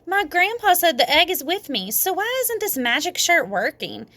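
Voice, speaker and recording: An excitable, sputtering little schoolboy from a storybook, played by a woman reader. The voice jumps very high and bright, with breathless repeats and an easily upset temper. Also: moderate pace, full character voice. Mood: plaintive.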